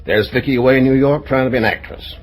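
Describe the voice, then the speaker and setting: A man's voice: medium pitch, fast, lightly acted. An actor playing an exasperated, blustering middle-aged father who likes a drink, in old-time radio comedy style. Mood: glum, sardonic.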